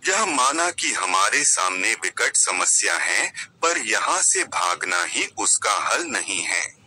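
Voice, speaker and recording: Man voicing a steady, low-voiced crow husband. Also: takes it slowly, heavily acted, low-quality recording. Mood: resolute. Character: steady, reassuring male crow husband consoling his mate. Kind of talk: children's moral story character voice.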